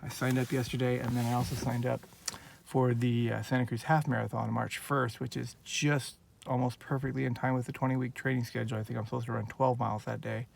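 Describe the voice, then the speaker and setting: A man's voice: mid-range voice, level tone, fast talker, gravelly, hardly any pauses, a little hiss or hum. A man in his forties from Northern California, talking in an earnest, rambling, heartfelt way in a casual personal vlog, recorded on a handheld phone. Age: 40s. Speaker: vlogger.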